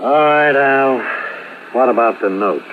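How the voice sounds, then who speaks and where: An actor playing a gruff, wry police lieutenant in a 1950s radio drama, questioning in a dry, clipped way. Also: male voice, medium pitch, measured pace, loud music behind the voice, natural voice. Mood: pressing, animated. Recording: noisy, phone-like vintage radio recording.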